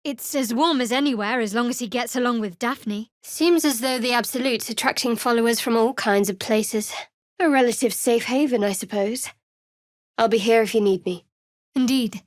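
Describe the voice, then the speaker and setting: An actress playing a guarded, wry young half-elf cleric in a fantasy video game, speaking in a measured, dry, slightly haughty way. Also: female voice, on the high side, dry recording, close to an everyday voice. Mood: wry, cutting. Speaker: video game character voice actor. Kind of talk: scripted fantasy game dialogue.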